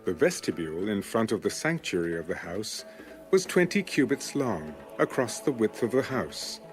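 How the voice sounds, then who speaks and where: Expressive male Bible narrator over soft music. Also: on the low side, normal speed. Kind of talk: scripted scripture narration.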